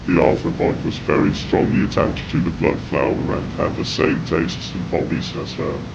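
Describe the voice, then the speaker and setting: Very gravelly, low-voiced male horror narrator. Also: fairly even intonation, normal speed. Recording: dull, noisy lo-fi audio with rumble.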